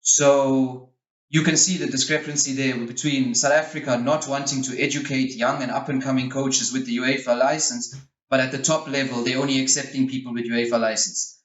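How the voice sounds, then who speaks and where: A man's voice: medium pitch, steady tone, moderate pace, fairly bright tone, reverberant, muffled recording. A man from Switzerland, a young adult, explaining things frankly as a guest in a conversational interview. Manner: frank, opinionated. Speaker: interview guest, youth football coach.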